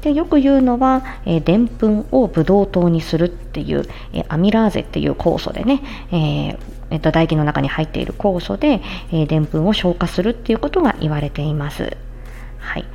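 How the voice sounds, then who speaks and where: A woman's voice: lowish voice, animated, a little fast, gravelly, dark tone, lo-fi sound. A woman giving an explanatory health talk in plain, conversational words, like a podcast host addressing listeners. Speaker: podcast host, speech-language therapist.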